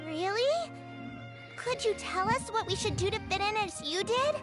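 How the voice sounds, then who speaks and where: A woman's voice: very high-pitched, animated, moderate pace. A woman voicing a small, kind, hopeful creature girl who speaks sweetly and eagerly, over game music. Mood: earnest. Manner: sweet, eager, soft-spoken. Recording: game audio with loud background music.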